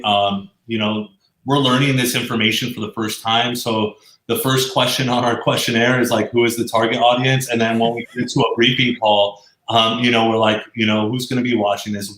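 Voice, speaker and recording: A man's voice: on the low side, fairly bright tone. A man being interviewed, explaining his work in a casual way, often circling back to rephrase. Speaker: interview guest, explainer video professional. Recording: roomy, echoey sound.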